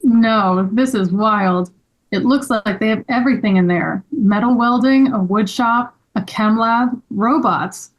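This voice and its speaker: Woman playing an agreeable friend, highish voice. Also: a little slow, natural voice, poor audio. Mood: amazed. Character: agreeable, easily impressed member of a friend group. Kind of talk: table read of a script.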